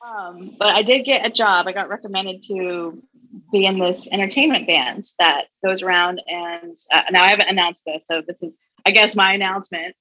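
A woman in her twenties, a singer chatting as a guest, upbeat and quick to laugh. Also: mid-range voice, steady tone, bright, forceful tone, no room sound, poor audio. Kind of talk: casual conversation.

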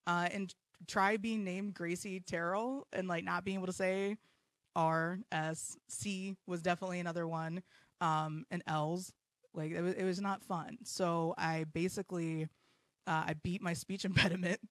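A woman's voice: lowish voice, steady tone, moderate pace, a bit bright, no room sound, clean studio sound. A woman in her thirties hosting her own podcast live from her home basement, talking in a blunt, self-deprecating way and swearing freely. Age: 30s. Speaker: podcast host. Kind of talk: casual live podcast monologue.

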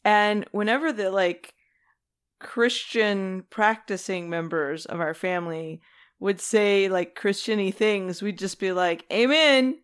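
A woman's voice: medium pitch, takes it slowly, clean tone. A woman co-hosting a casual discussion, talking informally and often acting out little bits of imagined dialogue. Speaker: podcast co-host.

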